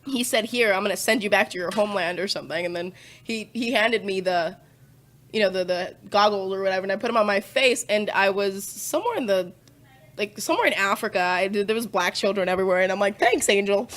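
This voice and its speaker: A woman guest on a casual podcast, talking in a relaxed, rambling way and swearing freely as she tells her stories. She often trails off with fillers and breaks into laughter. Her pitch sits at an ordinary middle level for a woman. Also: fairly quick. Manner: laid-back, profane storytelling with frequent fillers and laughs. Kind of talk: casual chat.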